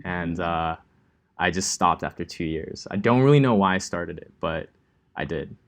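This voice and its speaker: A young man from Los Angeles teaching a coding class in a relaxed, chatty way, with many ums and likes. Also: sits low, normal speed. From Los Angeles, California. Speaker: coding instructor. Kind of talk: casual classroom lecture.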